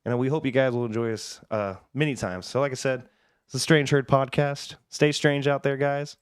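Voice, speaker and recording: A man's voice: mid-range voice, fairly even intonation, normal speed, smooth, no echo, clean studio sound. A man hosting a casual podcast, chatting informally with plenty of slangy dudes. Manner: informal, slangy, says dude and man often. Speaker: podcast host.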